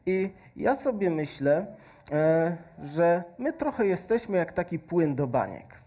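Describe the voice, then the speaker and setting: Man, thirties, stage science presenter, unhurried, soft dark tone, filler-heavy explaining. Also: fairly high pitch, very animated. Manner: slow, patient explaining with frequent fillers. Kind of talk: stage science demo with a faith message. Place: large stage with audience hall. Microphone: headset.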